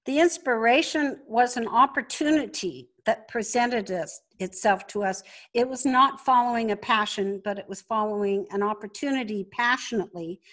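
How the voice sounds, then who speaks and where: A woman's voice: a little high, moderate pace, few pauses, a bit bright. A woman telling stories conversationally as an interview guest. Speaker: podcast guest, entrepreneur.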